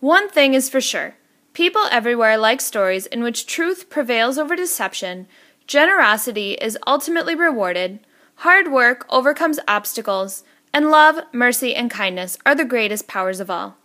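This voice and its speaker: A woman narrates a scripted lesson as a teacher would. Her voice sits fairly high and has a very bright, forward tone. She enunciates clearly and keeps an instructional manner. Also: lively intonation, normal speed.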